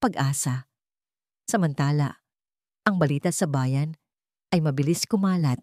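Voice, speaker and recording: A woman narrating a scripted sentimental drama in measured, short phrases. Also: deep voice, darkish tone, no echo, studio quality.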